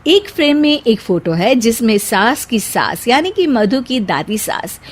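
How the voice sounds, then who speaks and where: A woman's voice: a little high, big pitch swings, unhurried. A woman reading scripted cartoon story narration as the storyteller.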